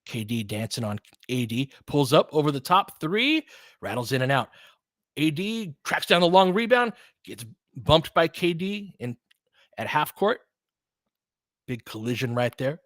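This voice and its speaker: A man's voice: medium pitch, fairly bright tone, no room sound, clean studio sound. A man giving informal live basketball play-by-play as a fan-facing host, opinionated and conversational, in clipped phrases.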